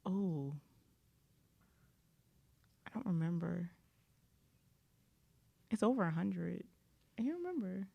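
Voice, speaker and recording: Gravelly-voiced woman podcast co-host. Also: fairly low pitch, very animated, takes it slowly, long pauses, muffled recording. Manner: laid-back, rambling, laughs often. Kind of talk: casual chat.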